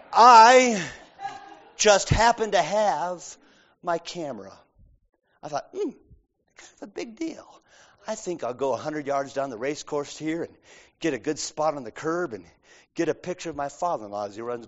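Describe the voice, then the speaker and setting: A middle-aged man preaching a sermon, telling a story with acted-out voices and big swings between loud and soft. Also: high voice. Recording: dull lo-fi sound.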